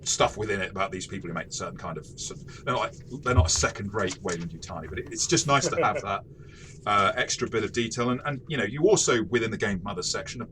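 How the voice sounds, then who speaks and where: A man co-hosting a casual game review discussion, thoughtful and halting, often rephrasing mid-sentence. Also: on the low side, noisy background. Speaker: co-host of a game review show.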